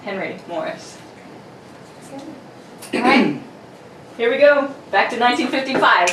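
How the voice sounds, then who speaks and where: A woman's voice: on the high side, lively intonation, unhurried, fairly bright tone. A woman host giving a casual, bantering introduction in a jokey, giggly, informal manner. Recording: distant roomy mic with faint noise.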